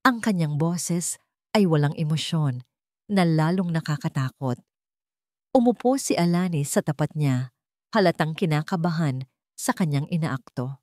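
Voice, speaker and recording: A woman narrating a dramatic scripted story in slow, deliberate phrases with pauses. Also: deep voice, expressive, darkish tone, no room sound, clean studio sound.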